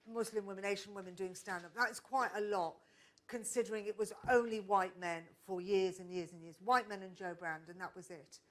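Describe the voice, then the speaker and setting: A woman comedian talking candidly on a live stage panel, her voice at an ordinary middle pitch. She is reflective and often breaks off to restart a thought. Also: sharp tone, a little hiss or hum. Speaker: stand-up comedian, panel guest. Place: stage at a live podcast event.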